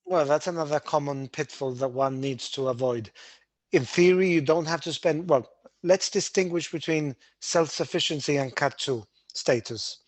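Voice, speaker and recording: A man hosting a webinar, explaining tax residency rules in a brisk, emphatic way with frequent restarts. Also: a little high, no room sound. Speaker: webinar host, Gibraltar tax adviser.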